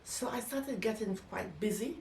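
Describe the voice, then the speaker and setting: A woman's voice: mid-range voice, moderate pace, darkish tone. An older woman telling personal memories in an interview, emphatically, often acting out what was said. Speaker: interviewee, former nurse. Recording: echoey room, distant mic, faint noise.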